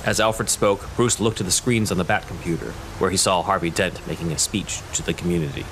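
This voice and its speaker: A man's voice: low-pitched, fairly quick, background noise. A man narrating a scripted audio drama as its storyteller.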